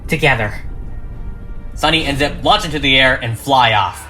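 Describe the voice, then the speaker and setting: Man narrating theatrically, bright voice over music. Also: mid-range voice, brisk. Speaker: narrator and script reader. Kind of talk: dramatic scripted narration of a fan audio drama.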